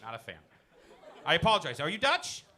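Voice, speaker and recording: A young man from Iran doing a brash, animated stand-up set in a comedy club and teasing the crowd on a handheld mic. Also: a little high, a little fast, noisy background. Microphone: handheld stage mic.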